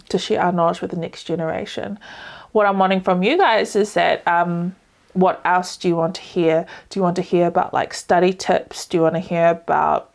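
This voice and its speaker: Low-voiced New Zealand woman, chatty podcast. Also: vocal fry. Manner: relaxed and chatty, with many ums and likes. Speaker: podcast host. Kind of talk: casual solo podcast talk. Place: small indoor room at home, dog barking outside. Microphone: laptop webcam, seated close.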